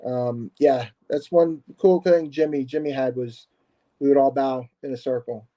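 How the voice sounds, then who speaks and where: A man's voice: medium pitch, fairly even intonation, a little slow, lo-fi sound. A man, a martial arts instructor, chatting as a podcast guest in a hesitant, easygoing way, often laughing. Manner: hesitant, many fillers and restarts, laughs easily. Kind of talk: casual podcast conversation.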